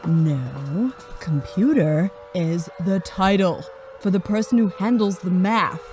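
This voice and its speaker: A woman playing a brisk, sceptical, no-nonsense adventuress in a pulp sci-fi audio drama. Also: lowish voice, a little mellow, a little hiss or hum. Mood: exasperated. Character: brisk, sceptical adventuress Vale, telling her own space tale.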